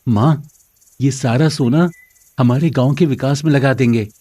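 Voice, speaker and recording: A man playing a kind, earnest, respectful son in an animated moral story. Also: medium pitch, a little slow, dry recording, natural voice. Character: kind, earnest grown son in a Hindi fairy tale.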